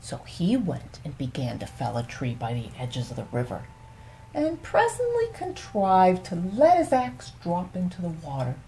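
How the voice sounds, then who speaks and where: Slow, mellow woman reading bedtime fables. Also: on the low side, wildly swooping pitch, slight background noise, poor audio. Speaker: storyteller, host of a bedtime story series.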